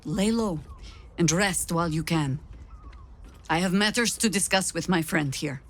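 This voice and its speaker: An actress playing a stern, weary veteran woman commander in a video game, speaking in a measured, grave and authoritative way. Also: on the low side. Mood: regretful, resolute. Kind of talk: dramatic video game acting.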